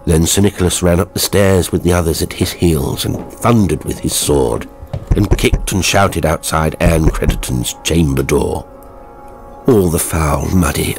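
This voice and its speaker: A man narrating a horror story in a grave, theatrical, dramatic scripted style. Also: low voice.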